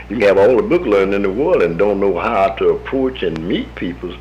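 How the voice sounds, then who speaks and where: A man's voice: lowish voice, lively intonation, moderate pace, a little mellow, a little hiss or hum, rough recording. An American man in his fifties, an army veteran telling his story in a folksy manner, acting out the voices of the people he quotes. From United States. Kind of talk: oral history storytelling.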